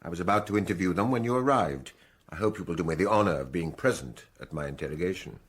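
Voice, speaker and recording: An actor playing a courteous but officious examining magistrate in a radio drama, with fussy, formal politeness. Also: male voice, sits low, expressive, fast, slightly rough. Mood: probing.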